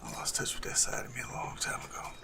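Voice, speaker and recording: A man playing a suave, cunning assassin in love, speaking softly and formally in a romantic audio roleplay with a gravelly creak. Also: fast, whispered. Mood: enchanted, earnest. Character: lovestruck male assassin, suave, cunning, tenderly courting a noble. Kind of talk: romantic audio roleplay acting addressed to the listener.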